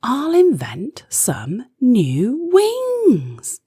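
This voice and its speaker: Woman voicing an excitable, high-pitched little girl inventor. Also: drawn-out words, husky, no room sound, studio quality, full character voice. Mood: determined. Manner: exclaiming, sighing, dramatic. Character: young girl inventor, excitable, quick-tempered, easily frustrated.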